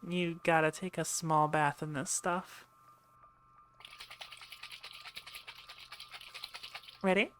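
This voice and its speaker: A woman's voice: low-pitched, fairly even intonation, normal speed, clear, resonant tone, lightly acted. A woman playing a brusque, sarcastic ship medic in an ASMR roleplay, dry and impatient, talking straight to her patient. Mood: exasperated. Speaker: voice actor in audio roleplay. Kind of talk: ASMR roleplay acting, speaking to listener.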